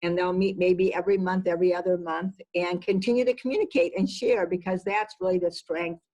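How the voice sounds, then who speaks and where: A woman's voice: lowish voice, fairly even intonation, fairly quick, darkish tone, dull audio. A woman executive giving conversational interview answers, emphatic and repeating words for stress. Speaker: business executive being interviewed.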